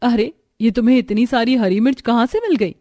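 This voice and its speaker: A woman voicing hardworking, excitable young village daughters-in-law in a Hindi cartoon story, with an expressive, exclaiming delivery. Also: fairly high pitch, fairly quick, natural voice. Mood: surprised, chatty.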